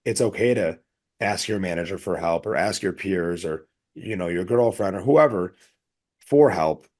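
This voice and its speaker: A man's voice: low voice, moderate pace, darkish tone. A man talking casually as a podcast co-host, rambling earnestly in an anecdotal way.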